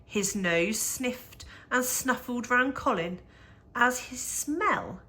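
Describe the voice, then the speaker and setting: A woman reading a rhyming picture book aloud for young children, with measured, expressive storytelling and dramatic emphasis. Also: on the high side.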